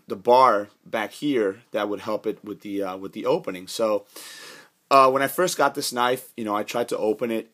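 Hoarse, lowish male reviewer; chatty, filler-heavy, talks over his hands on camera. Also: a bit bright. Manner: rambling, many fillers and restarts. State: hoarse from a cold. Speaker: knife reviewer. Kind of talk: casual product review. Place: indoors, carpeted room.

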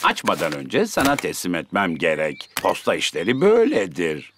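A man playing a big, jolly zebra postman in a children's cartoon, jovial and deliberate. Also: lowish voice, huge pitch swings, vocal fry, very noisy, full character voice. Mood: cheerful.

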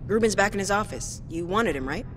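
A woman's voice: medium pitch, expressive, fairly quick, background noise, natural voice. An actress playing a frank, helpful woman among survivors in a video game. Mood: frank, reassuring.

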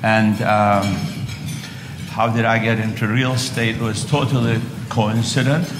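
Older turkish-accented man, low voice, rambling talk, ballroom echo and dishes clinking. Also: a little slow, background noise. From Istanbul, Turkey. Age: 60s. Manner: relaxed, rambling, many fillers and restarts. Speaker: guest speaker, real estate developer. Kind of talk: onstage interview storytelling. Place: large hotel ballroom luncheon on stage. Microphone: lapel mic. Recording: echoey ballroom with long reverb, loud dish clatter. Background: clinking cutlery and dishes.

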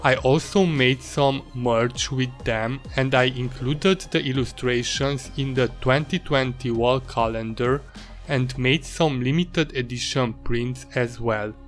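A man's voice: mid-range voice, soft background music. Hungarian-accented man narrating his art process. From Romania, Transylvania. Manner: measured, slightly halting non-native English. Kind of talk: voice-over narration.

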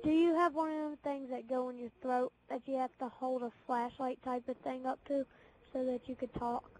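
Casting: female gamer, very high voice, blunt scolding delivery, faint noise on game party chat. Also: little pitch movement, moderate pace, darkish tone. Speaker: online game party player. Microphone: game console party chat. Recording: noisy game headset chat.

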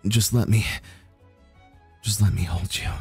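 A very breathy, husky young warrior, acted by a man for romantic ASMR, brooding yet secretly tender. He speaks slowly and haltingly on the lower side, stammering and sighing close to the mic. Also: fairly even intonation, faint music behind, full character voice. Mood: tender, pleading. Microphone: close microphone.

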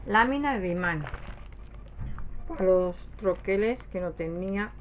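Woman, Spain Spanish accent, unhurried rambling haul-vlog chat, faint background noise. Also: medium pitch, expressive, rough recording. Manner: rambling, often trailing off and self-correcting. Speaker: craft haul vlogger. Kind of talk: casual chat, showing purchases to camera.

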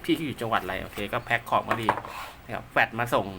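A man's voice: lowish voice, expressive, fast talker, vocal fry, noisy background. A man giving casual, polite, rambling hands-on commentary as a technician showing gear he is setting up, half talking to himself as he works. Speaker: radio technician and seller presenting his work.

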